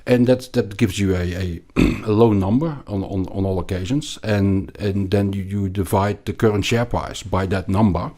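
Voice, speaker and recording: A man with a Belgian accent explaining things as an interview guest, haltingly and emphatically, often repeating words. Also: on the low side, warm tone. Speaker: podcast guest, investment analyst.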